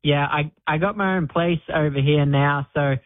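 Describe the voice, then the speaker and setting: A man in his twenties with an Australian accent, a guest talking in a relaxed, modest way with many fillers over the phone. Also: a little high, normal speed. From Queensland, Australia. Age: 20s. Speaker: guest bull rider. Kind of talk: phone interview on radio. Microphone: phone line. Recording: tinny phone line.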